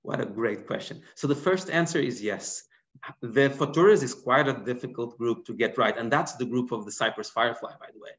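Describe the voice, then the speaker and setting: A man in his thirties from Portugal, answering lecture questions over a video call with lively, animated explanations. His voice sits at a middle pitch and comes through a laptop mic. The audio is somewhat dull and lo-fi. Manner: animated, gesturing while explaining. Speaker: guest biologist. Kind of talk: online lecture Q&A. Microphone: laptop webcam mic on video call.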